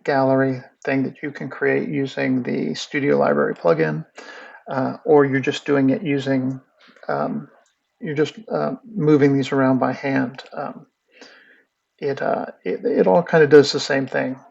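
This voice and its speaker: A man runs a relaxed software tutorial, thinking aloud and trailing into fillers as he works. His voice is husky and gravelly. The recording is dull and lo-fi. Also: mid-range voice, a little slow. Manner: rambling, filler-heavy explaining while clicking. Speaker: animation instructor.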